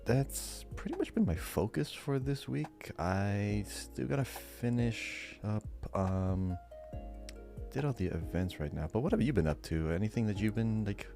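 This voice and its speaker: Man, low mellow voice, podcast co-host, casual gaming chat, faint music. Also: expressive, unhurried, vocal fry.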